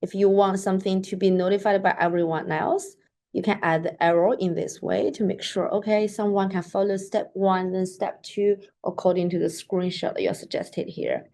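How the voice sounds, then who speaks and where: A woman whose first language is Mandarin Chinese gives a casual screen-share tutorial of a software app, talking things through as she clicks and often saying "like" and "so that is to say". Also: medium pitch, steady tone, moderate pace. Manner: chatty, with frequent "like" and "so that is to say". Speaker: software demo presenter. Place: at a computer, recording her screen.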